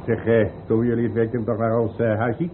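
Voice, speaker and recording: An actor playing a chummy, grumbling workmate in a radio play, chatty, informal and a bit coarse. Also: male voice, medium pitch, level tone, fairly quick, lightly acted. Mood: chummy, complaining. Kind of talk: naturalistic radio play dialogue. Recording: muffled, faintly noisy old radio recording.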